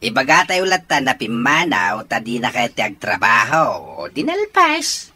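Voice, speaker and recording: A scheming woman of Ilocano radio drama, bossy and emphatic in an Ilocano accent. Her voice sits low, with a hard, forceful edge and a husky grain. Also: wildly swooping pitch, normal speed, heavily acted. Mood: scheming, insistent. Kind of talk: radio comedy-drama acting.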